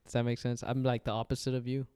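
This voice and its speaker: Teasing podcast host, smooth mellow voice. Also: male voice, medium pitch, level tone, quick pace, no room sound, studio quality. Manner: joking, teasing, laughs often. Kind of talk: casual teasing chat.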